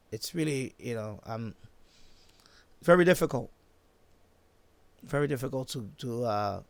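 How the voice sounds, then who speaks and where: A man chatting off the cuff on a live stream, halting and repeating himself as he circles back to his points. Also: mid-range voice, no room sound. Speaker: live-stream host, radio hobbyist. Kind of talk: casual unscripted live-stream chat.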